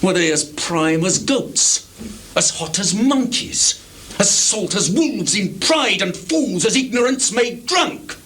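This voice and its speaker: A scheming villain, swooping theatrically. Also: male voice, high voice, lively intonation, slow, heavily acted. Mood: insinuating. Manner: theatrical, insinuating, swinging from hushed asides to loud protest. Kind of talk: live Shakespearean stage tragedy. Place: small theatre stage. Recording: noisy live theatre recording, 1980s.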